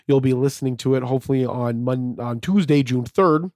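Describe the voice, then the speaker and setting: A man hosting his own podcast, talking casually and a bit slightly raspy from a fever, with lots of fillers and restarts. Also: medium pitch, fairly quick, a little mellow, no room sound, studio quality. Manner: rambling, many fillers and restarts. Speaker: podcast host. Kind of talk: casual solo podcast monologue.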